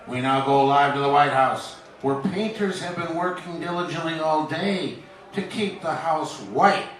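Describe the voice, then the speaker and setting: Man playing a slow, echoey old-time radio announcer. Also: a little high, a bit bright. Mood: grandiose. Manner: theatrical, drawn-out delivery. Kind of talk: 1940s radio announcing parody. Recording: echoey distant old-radio sound, music behind.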